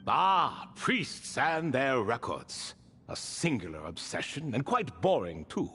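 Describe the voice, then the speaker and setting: A man's voice: medium pitch, big pitch swings, slow pace, pushed and bright, slight background noise, heavily acted. An actor playing an ancient proud male spirit retainer bound in a relic, telling his tale with dry amused asides in a video game cutscene. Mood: wry. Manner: wry, stately storytelling with dry amused asides.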